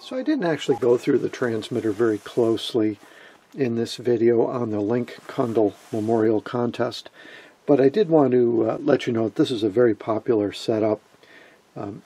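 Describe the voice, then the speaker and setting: A man giving an informal, unscripted technical show-and-tell as a radio hobbyist, explaining in a halting, matter-of-fact way. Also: medium pitch, gravelly, warm tone, husky, no room sound. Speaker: amateur radio hobbyist presenting homemade gear.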